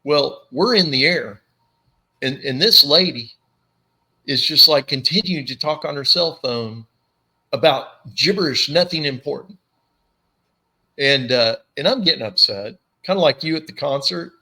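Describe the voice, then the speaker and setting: An animated male podcast host with a bright, forceful tone and a slightly raised pitch. He tells funny stories in a loose, chatty way and acts out the people in them. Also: unhurried, low-quality recording. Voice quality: low commanding voice. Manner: animated storyteller, acts out voices. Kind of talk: casual storytelling chat.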